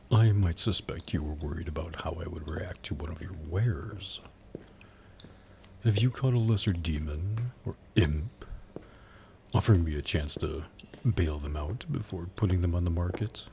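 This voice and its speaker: A man playing a suave, haughty demon buyer in an ASMR roleplay, speaking in a low, husky, gravelly voice with teasing, sardonic pauses. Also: background noise. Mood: haughty, wry. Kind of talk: ASMR roleplay audio drama.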